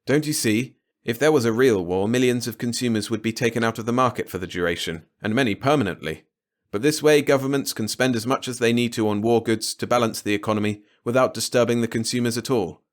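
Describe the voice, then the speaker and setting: A man's voice: medium pitch, fast, lightly acted. A man voicing a smug young man in a storybook who explains things in a patronizing, pedantic way. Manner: patronizing, as if to a small child.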